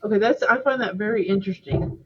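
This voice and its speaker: A woman's voice: mid-range voice, fast, slightly rough, highs cut off. A woman co-hosting a reaction show, commenting casually and piecing her thoughts together aloud.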